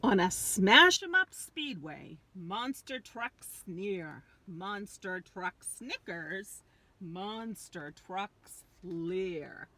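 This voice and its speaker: Expressive, unhurried woman reading to children. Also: mid-range voice. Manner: dramatic, drawn-out emphasis on key words. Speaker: storyteller reading a picture book aloud. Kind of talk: expressive read-aloud for young children.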